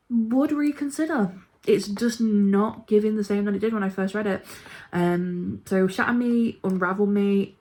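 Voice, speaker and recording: A woman chatting casually and informally about books she ranks, with a gushing, emphatic way of talking. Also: medium pitch. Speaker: book reviewer vlogger. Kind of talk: casual chat ranking books.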